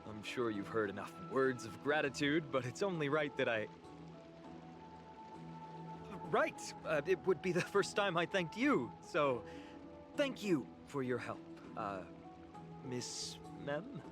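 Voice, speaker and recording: An actor playing a courteous, earnest young warrior in a video game, polite, sincere and slightly hesitant, with a quietly troubled air. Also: male voice, medium pitch, sing-song, unhurried. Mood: sincere, awkward. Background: background music.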